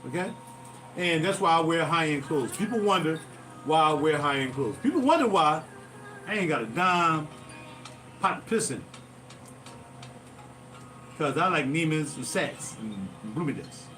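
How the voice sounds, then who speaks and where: A man's voice: fairly high pitch, big pitch swings, a little slow, long pauses, bright, forceful tone. Philly man rambling on a livestream. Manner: digressive, loses his thread, talks to viewers directly. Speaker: musician hosting a livestream. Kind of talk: casual rambling livestream storytelling. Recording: distant mic with music playing behind.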